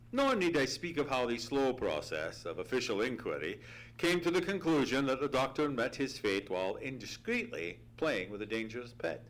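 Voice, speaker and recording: Doctor Watson, earnest, suspenseful radio narrator. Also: male voice, on the low side, fairly bright tone, a little hiss or hum, low-quality recording. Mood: solemn. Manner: theatrical, suspenseful, formal storytelling. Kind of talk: old-time radio dramatic storytelling. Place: theatre stage, live radio play.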